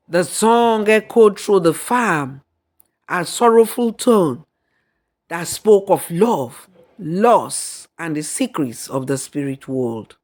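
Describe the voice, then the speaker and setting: A woman narrating a folktale in a deliberate, dramatic storyteller's manner. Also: lowish voice. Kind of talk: scripted folktale narration with acted dialogue and song.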